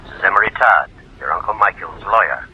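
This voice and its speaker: A man's voice: sits low, expressive, a little fast, pushed and bright, husky, heavily acted. A man playing a sober, practical family lawyer in a 1940s radio drama, formal and politely insistent. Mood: grave, apologetic. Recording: muffled old radio recording.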